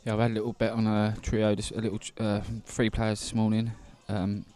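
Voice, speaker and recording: A man co-commentates a pool match in a casual, informal manner. His voice is fairly low and warm, and he often drops to a quieter, mellow tone. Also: monotone, fairly quick, smooth. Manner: casual, informal, laughs easily. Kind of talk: live stream commentary chat.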